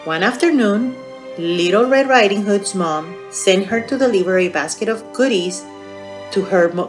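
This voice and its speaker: A woman narrating a storytime for young children as a library storyteller, in a simple, expressive manner. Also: lowish voice. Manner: expressive, simple, asking the listeners questions.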